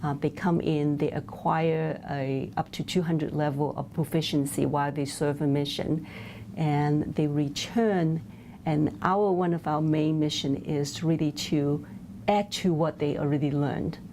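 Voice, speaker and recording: A woman with a very low, gravelly voice and a dark tone explains how her language program works. She talks in an interview style, pausing on fillers, over faint background noise. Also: fairly even intonation. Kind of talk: interview answers.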